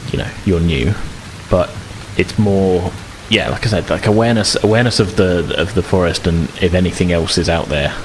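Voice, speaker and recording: A man running a tabletop roleplaying game as game master, improvising narration and haltingly repeating words as he thinks. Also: deep voice. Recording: faint rain ambience noise.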